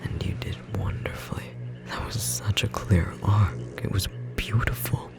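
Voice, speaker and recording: A performer voicing a tender magical bookstore clerk in an ASMR roleplay, whispering softly and gently encouraging a beloved partner, with a slightly rough edge. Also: sits low, fairly even intonation, unhurried, music in the background. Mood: proud, adoring. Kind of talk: ASMR roleplay, intimate whispered second-person acting.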